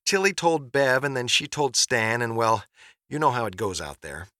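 A man voicing an excitable, chatty, flustered middle-aged mother in an audiobook, gushing and rambling through phone messages. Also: mid-range voice, normal speed, no room sound, clean studio sound, pitched above the performer's own voice. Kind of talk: audiobook character voice.

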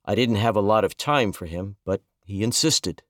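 A man voicing a calm, gentle middle-aged reverend who speaks softly and consolingly. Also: on the low side, dry recording, studio quality. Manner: soft-spoken, measured, consoling.

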